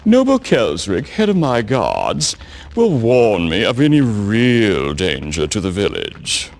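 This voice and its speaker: An actor playing a complacent village chief in a video game, pompous and breezily dismissive. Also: male voice, on the low side, huge pitch swings, takes it slowly, full character voice. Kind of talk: video game cutscene acting.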